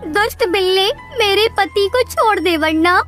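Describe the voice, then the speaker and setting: A woman's voice: extremely high pitch, measured pace, a bit bright, faint music behind. A woman voicing a small, anxious, devoted female bird who speaks in an earnest, pleading way in a children's cartoon. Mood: desperate pleading.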